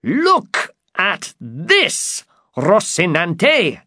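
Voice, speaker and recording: A man's voice: high-pitched, sing-song, slow pace, a bit bright, dry recording, clean studio sound, voice pushed up, heavily acted. A man voicing an eager, pompous old would-be knight in a storybook, speaking with earnest chivalry. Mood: grand, expectant. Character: eager, grandiose old man who believes he's a knight. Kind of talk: dramatized storybook reading.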